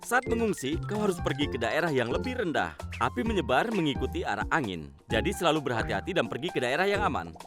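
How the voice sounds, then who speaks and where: Give me a steady, reassuring fire truck robot from a cartoon, slightly high and very animated. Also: male voice, quick pace, music in the background. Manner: instructive, reassuring. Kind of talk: dubbed cartoon voice acting.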